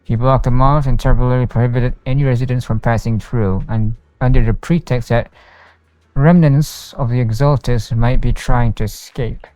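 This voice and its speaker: A male streamer with a mellow, medium-pitched voice reads game text aloud. He stumbles over words now and then, in a roomy space with faint music behind. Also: steady tone, moderate pace. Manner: stumbles while reading aloud. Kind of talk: reading game dialogue and narration aloud on a livestream.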